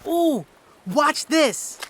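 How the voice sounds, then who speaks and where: A woman's voice: sits high, sing-song, extremely slow, a little hiss or hum, exaggerated voice. A woman voicing a playful young boy adventurer in an audio drama.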